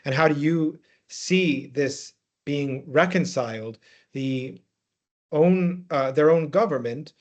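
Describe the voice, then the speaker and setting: Halting male moderator on dull call audio. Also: fairly high pitch. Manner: halting, measured, roundabout phrasing. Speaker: webinar moderator. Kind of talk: moderated panel questioning, formal but unscripted. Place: online webinar call.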